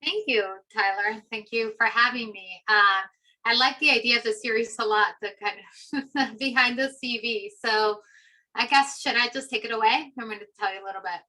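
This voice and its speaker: Bright-voiced woman telling her story. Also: on the high side, steady tone, moderate pace, rough recording. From Peru. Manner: chatty and reflective, many fillers. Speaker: philosophy professor, guest. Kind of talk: conversational personal story.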